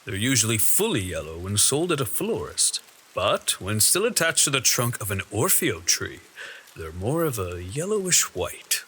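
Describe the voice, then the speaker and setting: A man's voice: lowish voice, very animated, normal speed, sharp tone, a little hiss or hum. A man playing a stern, watchful lord with formal, commanding speech in a fantasy audio drama. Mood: composed. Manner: formal, commanding, archaic phrasing. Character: stern, watchful male lord guiding his companion.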